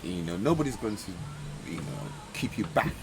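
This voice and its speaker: Male podcast host, lowish voice, lots of affirmations, background noise audible. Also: sing-song, moderate pace. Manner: frequent backchannel affirmations. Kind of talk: podcast interview.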